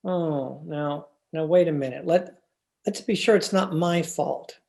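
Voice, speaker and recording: Woman playing a mellow, sheepish husband. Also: deep voice, measured pace, creaky. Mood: flustered, sheepish. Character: concerned, mildly sheepish young-ish husband. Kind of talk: 1940s radio comedy acting.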